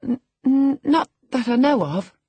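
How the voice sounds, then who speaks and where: A woman's voice: fairly high pitch, lively intonation, moderate pace, dark tone, breathy edge, no room sound, voice pushed up. A woman voicing a peevish, evasive teenage girl from a genteel household in a storybook reading. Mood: flustered, evasive. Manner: petulant, stammering when flustered.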